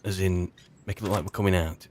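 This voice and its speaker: A man's voice: sits low, fairly even intonation, quick pace, very noisy. A man giving casual role-play commentary as he plays, musing and muttering asides to himself. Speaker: gamer playing in character. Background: game music.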